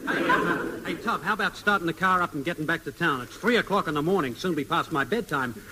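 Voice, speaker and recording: An actor playing a laid-back, wisecracking Australian sidekick in an old British radio comedy. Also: male voice, fairly high pitch, lively intonation, quick pace, close to an everyday voice. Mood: weary. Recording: hissy old radio recording, reverberant.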